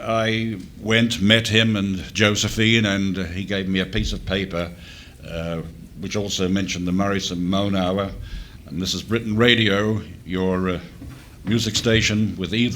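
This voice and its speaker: A man in his sixties from Australia, a former radio DJ telling a halting, filler-laden story at a panel talk. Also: fairly low pitch, fairly even intonation, a bit bright, slight background noise. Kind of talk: reminiscing panel chat.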